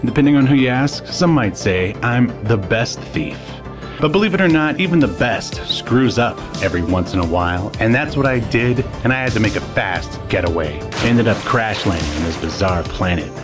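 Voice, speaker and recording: A man's voice: fairly low pitch, expressive, moderate pace, lightly acted. An actor playing a cocky, wry male space thief on the run, in dramatic comic narration. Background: music.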